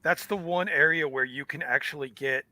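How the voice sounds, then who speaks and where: A man with a fairly high, bright, somewhat nasal voice, chatty and quick to laugh. He sounds close and dry, with no room echo, as a guest in a casual chat.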